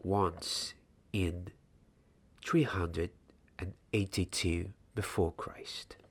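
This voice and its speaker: Young wandmaker's apprentice, played by a man, ASMR fantasy roleplay: hushed, deliberate teaching, deep creaky voice, close mic. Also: fairly even intonation, background noise, full character voice. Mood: hushed, instructive. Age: 20s. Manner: slow, hushed, deliberate, sometimes slipping into whisper. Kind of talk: ASMR roleplay, soft-spoken.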